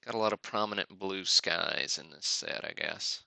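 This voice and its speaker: Bright, gravelly man hosting casually. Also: low voice, expressive, moderate pace, dry recording. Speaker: host of a sports card break livestream. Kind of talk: casual live commentary on card openings.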